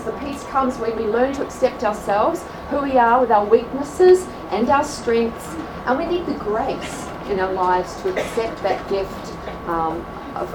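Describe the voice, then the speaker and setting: A woman with an Australian accent presenting a class to an audience at a church event, chatty and quick to laugh at herself. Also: a little high. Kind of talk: live talk to a class. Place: large room with an audience. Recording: echoey hall from far off, crowd noise.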